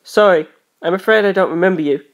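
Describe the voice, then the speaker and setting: A woman's voice: fairly low pitch, fast, natural voice. A woman voicing a reserved, earnest young schoolboy in an audiobook, plain and polite. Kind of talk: audiobook character voice.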